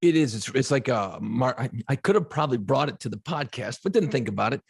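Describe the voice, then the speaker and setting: A man's voice: medium pitch, fast talker, vocal fry, breathy edge, no room sound, clean studio sound. A man, co-hosting a podcast in casual chat, telling profane stories with frequent laughs. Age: 50s. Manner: profane, laughing, animated storyteller. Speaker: podcast co-host.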